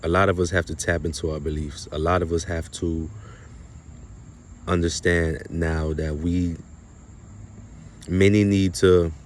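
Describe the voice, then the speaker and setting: A man delivering an unscripted spiritual monologue to viewers, halting and emphatic, often repeating his phrases. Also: low-pitched, level tone, a little mellow, a little hiss or hum. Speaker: spiritual video creator.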